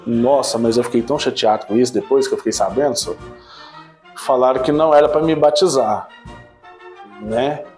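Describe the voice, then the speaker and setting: A man in his thirties giving a casual personal church testimony, animated at times and subdued at others, often tagging his sentences with a questioning "né". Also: mid-range voice, normal speed, pauses often, faint music behind. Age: 30s. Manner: animated storytelling, frequent "né?" tags, swings between excited and subdued.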